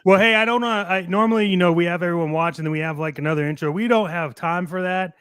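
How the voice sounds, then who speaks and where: A man's voice: high voice, quick pace, a bit bright, smooth, dry recording, clean studio sound. A man hosting a casual hype show in a showy, cheeky way, swearing casually. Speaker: podcast host.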